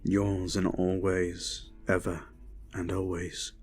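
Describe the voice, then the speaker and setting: Man acting as a low-voiced, solemn, haunted knight captain. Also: completely flat delivery, darkish tone, soft background music. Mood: haunted, somber. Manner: solemn, measured, formal. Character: weary, haunted male knight captain at war. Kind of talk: fantasy audio drama acting.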